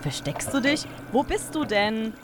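A woman's voice: on the high side, sing-song, a little slow, noisy background. A woman co-hosting a children's science podcast, playful and explanatory in a scripted audio play. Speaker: podcast co-host.

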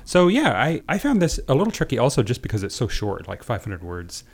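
A man's voice: mid-range voice, huge pitch swings, fairly quick, vocal fry, breathy edge. A man speaking as a guest writer, discussing and reading short fiction. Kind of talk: panel chat and reading own short fiction.

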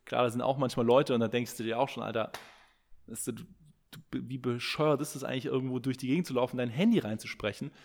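A man's voice: medium pitch, fairly even intonation, quick pace, vocal fry, a little mellow. A man co-hosting a podcast in casual chat, rambling with many fillers and restarts. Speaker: podcast co-host. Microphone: podcast microphone.

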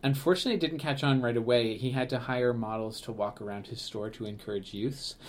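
A man's voice: medium pitch, fast talker, a little hiss or hum. A man co-hosting a podcast in Canada, talking in a chatty, rambling way with lots of fillers, restarts and laughs. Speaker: podcast co-host, museum staff. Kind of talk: casual podcast chat.

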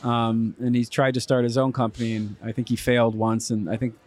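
A man in his thirties from Texas, chatting as an interview guest outdoors in a halting, wry way. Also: mid-range voice, flat delivery. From Texas, USA. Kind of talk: casual conversation. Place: outdoors in a city neighborhood.

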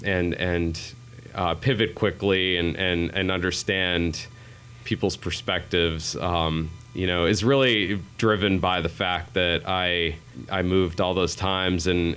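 Interview guest: man, very low and clear voice, from New England, easygoing rambling storyteller. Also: fairly bright tone. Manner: rambling, self-deprecating, frequent fillers and restarts. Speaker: podcast guest, healthcare digital strategist.

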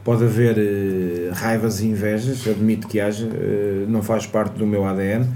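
A man's voice: lowish voice, little pitch movement, normal speed, dark tone. A man with a European Portuguese accent telling kitchen stories in casual chat, with chuckles and asides. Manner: storytelling, chuckling, drifting into asides. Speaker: chef guest recounting childhood. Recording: echoey room with faint noise.

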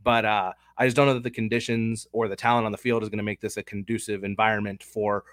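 A man with a head cold co-hosting a casual sports betting podcast, talking through picks in a measured, analytical way. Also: lowish voice, flat delivery, fairly bright tone, dry recording, clean studio sound. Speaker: podcast co-host.

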